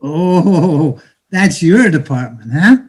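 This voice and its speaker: A man plays a streetwise guy from Brooklyn with a high voice and a slow, halting delivery. He is bitter and cynical, talking in slang as in a stage drama. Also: expressive, husky, full character voice. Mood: scornful, knowing. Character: bitter, streetwise Brooklyn-born man, grandson of a Haitian immigrant. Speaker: stage actor. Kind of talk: dramatic play reading.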